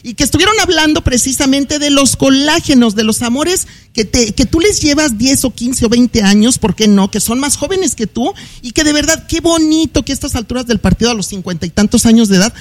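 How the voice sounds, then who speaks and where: Very high-pitched effusive man in his fifties. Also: expressive, brisk, few pauses. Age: 50s. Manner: effusive, emphatic, repeats himself. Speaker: radio show co-host. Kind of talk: casual gossip chat.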